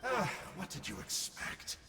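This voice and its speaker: An actor playing a sly, flippant demon scholar in a video game, wordy and mock-dramatic. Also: male voice, fairly high pitch, wildly swooping pitch, unhurried, vocal fry, exaggerated voice. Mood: wry, playful. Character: sly, theatrical male Abyss demon scholar, flippant trickster. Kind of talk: video game character acting. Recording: game music behind the voice.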